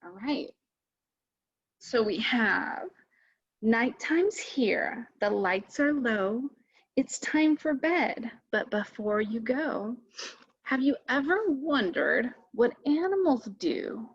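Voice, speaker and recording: A woman leading children's storytime, reading aloud deliberately and putting simple questions to her young listeners, in a slightly muffled recording. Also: fairly high pitch, animated, husky. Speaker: children's storytime reader at a public library. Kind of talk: read-aloud picture book and simple chat addressed to children.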